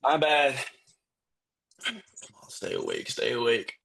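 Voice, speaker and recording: An actor playing an exhausted, lovestruck young man in a live script read, earnest and halting, with a husky voice. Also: mid-range voice, huge pitch swings, fairly bright tone, full character voice. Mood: desperate. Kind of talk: live screenplay table read.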